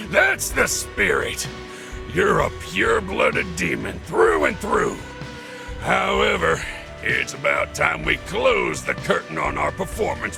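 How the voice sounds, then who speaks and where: A battle-crazed demon racer from a video game, with a very gravelly voice that swoops wildly up and down. He taunts theatrically, cackles and drags his words out very slowly, with music behind him. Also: fairly high pitch, full character voice.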